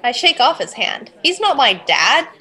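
High-voiced woman, tabletop player. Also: very animated, normal speed, sharp tone. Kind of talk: casual tabletop role-play.

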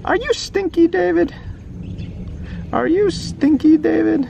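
A high, shrill storybook mom acted by a man in falsetto scolds her naughty toddler in drawn-out exclamations. Outdoor noise is clear behind the voice. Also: full character voice. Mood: suspicious questioning.

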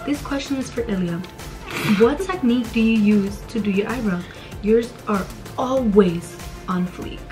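Casting: female vlog co-host with a slightly high voice, sassy and playful, music clearly behind her. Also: lively intonation, moderate pace, darkish tone. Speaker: co-host of a channel Q&A. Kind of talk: casual joking chat. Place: small dim bedroom, indoors.

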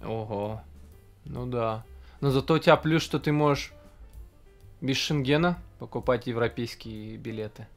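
A young man hosting a casual video-call interview, asking short relaxed questions. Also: mid-range voice, measured pace, soft background music. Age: young adult. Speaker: host. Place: indoor home room.

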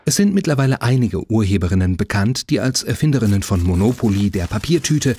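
A man's voice: fairly low pitch, very animated, brisk, mellow, breathy edge. A man reading scripted narration for an audio feature. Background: music behind the voice.

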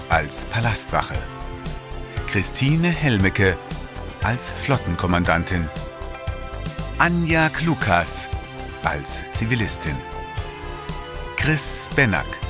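A man narrating a retro sci-fi audio drama in a dramatic, deliberate announcing style. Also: low voice. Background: music.

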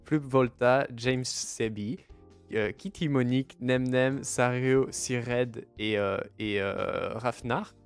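A man's voice: mid-range voice, takes it slowly, vocal fry, clear, resonant tone, faint music behind. A man hosting a casual stream, upbeat and encouraging, laughing easily as he chats with his guest. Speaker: stream host.

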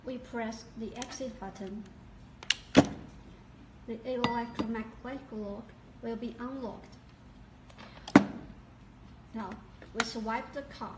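Female tutorial narrator, fairly high voice, deliberate step-by-step read, very roomy sound. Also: fairly even intonation. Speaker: product demonstrator. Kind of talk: scripted instructional narration. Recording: echoey, distant mic, noisy background.

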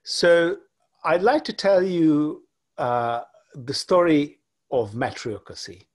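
A man in his forties from Hungary, giving an online lecture from a home room over a laptop webcam, talking haltingly with many fillers and restarts. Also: on the high side, lively intonation. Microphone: laptop webcam on video call.

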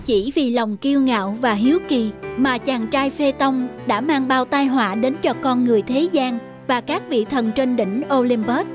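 A woman giving scripted storybook narration as the narrator of an animated fairy tale. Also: high voice, normal speed, few pauses. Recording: music behind the narration.

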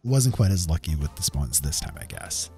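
A man with a fairly low voice narrates a gaming video. He explains things step by step in a semi-scripted voice-over style. Faint music plays behind him. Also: lively intonation, moderate pace, mellow. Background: background music.

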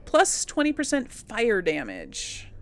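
A woman's voice: fairly high pitch, huge pitch swings, slow pace. A woman hosting a casual game playthrough, thinking aloud hesitantly and murmuring to herself as she goes. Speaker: gaming video host.

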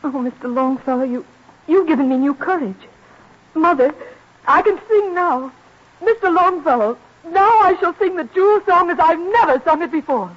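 An actress playing an eager, emotional young American opera singer in an old radio drama, effusive and theatrical. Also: female voice, extremely high pitch, lively intonation, moderate pace. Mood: grateful, excited. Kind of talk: 1940s radio drama acting. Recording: dull, noisy old radio recording.

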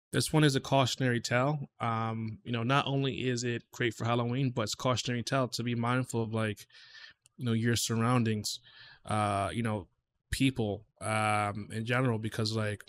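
A man in his thirties giving casual reaction commentary, conversational and reflective, speaking haltingly into a close desk microphone in a small home studio. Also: mid-range voice, little pitch movement, fairly bright tone. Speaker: music reaction host.

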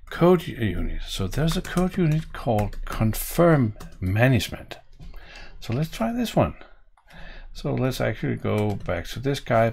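A man's voice: medium pitch, gravelly, slight background noise. A middle-aged man from Denmark with a Danish accent, thinking aloud as he walks through code in a home office. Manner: musing, thinking aloud while typing. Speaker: programming tutorial host. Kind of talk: live coding walkthrough. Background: keyboard typing and clicks.

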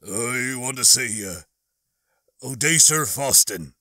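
A man's voice: mid-range voice, animated, slow pace, bright, forceful tone, no echo, clean studio sound, full character voice. A man voicing a tipsy, loose-lipped one-armed alien smuggler in an audiobook, with a casual, hesitant, slangy manner. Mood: hazy, compliant. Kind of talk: audiobook character dialogue.

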